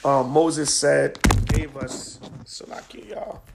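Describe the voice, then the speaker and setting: A man with a hoarse voice from a cold, teaching scripture in a livestream from home at night, emphatic and preacherly with frequent "all right?" checks. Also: fairly high pitch, lively intonation, takes it slowly, background noise. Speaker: teacher of a religious group. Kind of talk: live-streamed scripture lesson. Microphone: phone on a stand.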